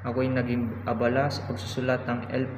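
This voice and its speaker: A man's voice: medium pitch, monotone, fairly quick, natural voice. A man with a Filipino accent playing an earnest young national hero, narrating his own travels in a student radio drama. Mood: earnest, solemn. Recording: muffled, noisy, echoey hall recording.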